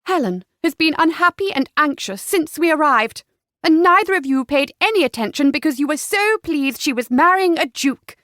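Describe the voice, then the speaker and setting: A spirited young gentlewoman in a historical romance , acted by a female narrator. She sounds high-voiced, forthright and defiant, pressing every point with emphasis. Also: expressive, moderate pace, few pauses, no echo, studio quality, close to an everyday voice. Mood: reproachful. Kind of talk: historical romance audiobook acting.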